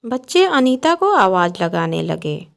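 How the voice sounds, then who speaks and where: Slow woman storyteller with creaky voice. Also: mid-range voice, big pitch swings. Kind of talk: scripted bedtime story narration.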